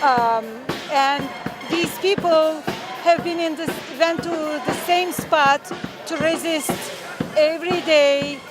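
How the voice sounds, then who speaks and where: Earnest woman, high voice, loud music. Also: fairly even intonation. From Turkey. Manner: halting, many fillers, earnest. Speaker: rally participant. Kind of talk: street interview. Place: outdoor street rally.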